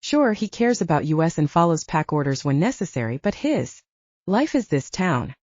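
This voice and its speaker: A woman plays a calm male werewolf from a romance audiobook. He reasons patiently in a measured, placating way, with a low, husky voice. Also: dry recording, dull audio. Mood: patient explaining.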